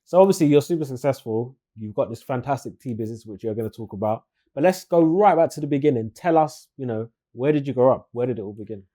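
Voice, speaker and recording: A man's voice: medium pitch, animated, fast, hardly any pauses, warm tone. A man hosting an interview, chatty and enthusiastic with loose phrasing. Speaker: host.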